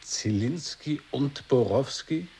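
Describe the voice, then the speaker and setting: A man's voice: mid-range voice, lively intonation, slow pace, dark tone, breathy edge, full character voice. An actor playing an incredulous man in an avant-garde radio play, repeating names and words back as short questions. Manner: echoing questions in short bursts.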